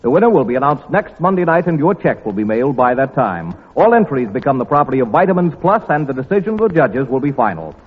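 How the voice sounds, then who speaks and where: A man's voice: medium pitch, sing-song, quick pace, hardly any pauses, husky. An American man announcing on 1940s radio, reading scripted rules and sponsor copy with formal, emphatic salesmanship. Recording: tinny, band-limited 1940s radio recording with long reverb.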